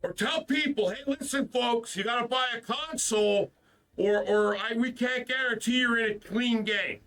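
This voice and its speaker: A man's voice: extremely high pitch, moderate pace, sharp tone, distant mic. A man hosting a gaming stream, ranting in a frustrated, profane way, his voice stuffed up from the flu. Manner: frustrated, profane, repeats himself. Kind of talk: casual ranting stream talk.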